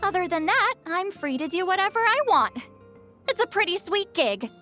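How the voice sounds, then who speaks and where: An actress voicing a proud former commander who is guarded, wry and quick to get flustered, in video game dialogue. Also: female voice, very high-pitched, very animated, bright, forceful tone, heavily acted. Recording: game audio over faint music.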